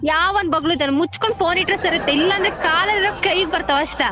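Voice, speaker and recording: A woman's voice: extremely high pitch, fast talker. A woman with a Karnataka Kannada accent talking casually on a phone call, blunt, questioning and impatient. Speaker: prank call recipient. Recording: phone call with music behind.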